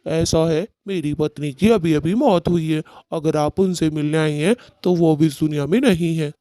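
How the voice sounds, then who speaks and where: A man's voice: fairly high pitch, moderate pace, warm tone, no echo, natural voice. A man playing an aggrieved male cartoon bird husband who speaks in a dramatic, reproachful way. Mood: puzzled. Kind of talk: Hindi cartoon story dialogue.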